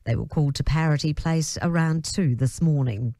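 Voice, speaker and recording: A woman's voice: low voice, normal speed, warm tone, dry recording. A woman with a New Zealand accent reads a scripted news bulletin as a radio newsreader. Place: dry radio studio.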